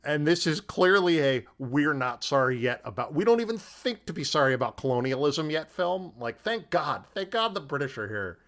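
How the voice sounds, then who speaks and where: A man's voice: on the high side, big pitch swings, normal speed, hardly any pauses, fairly bright tone. A man co-hosting a podcast, giving wry commentary in a casual conversation about films. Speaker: podcast co-host.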